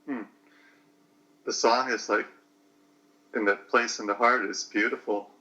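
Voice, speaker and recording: A man in his late forties talking slowly through a personal reflection, hesitant and searching for words. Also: on the low side, steady tone, sharp tone, rough recording. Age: 40s.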